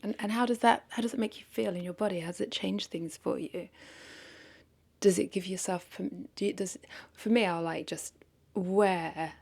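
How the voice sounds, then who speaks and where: A woman's voice: medium pitch, normal speed, creaky, darkish tone, husky. A woman talking casually in an unscripted vlog, rambling and correcting herself as she thinks aloud. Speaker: content creator, vlogger.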